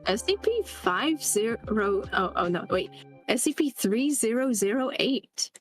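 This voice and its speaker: Giggly woman guest, chatty, a bit high-pitched, faint music underneath. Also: expressive, measured pace. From the Netherlands. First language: Dutch. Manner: giggly, chatty, frequent laughter. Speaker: interview guest. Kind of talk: casual interview chat.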